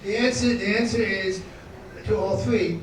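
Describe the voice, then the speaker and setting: Halting man reading aloud in an echoey hall. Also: high voice, fairly even intonation, a crowd in the background. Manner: halting, hesitant reader. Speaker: forum moderator. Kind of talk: reading questions aloud at a meeting. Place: meeting room. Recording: very echoey room, far from mic, noisy.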